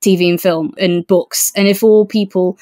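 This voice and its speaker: A woman's voice: mid-range voice, fairly even intonation, moderate pace, dark tone. Woman chatting earnestly about books. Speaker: podcast co-host. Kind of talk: casual book discussion.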